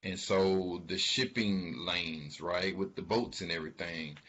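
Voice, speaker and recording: हल्की भारी आवाज़ वाला आदमी, दबी रिकॉर्डिंग. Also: male voice, deep voice, fairly even intonation, fairly bright tone, a little hiss or hum. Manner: halting, emphatic, repeats words for stress. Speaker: financial commentator. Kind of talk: informal spoken commentary on an earnings call. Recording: dull, lo-fi sound.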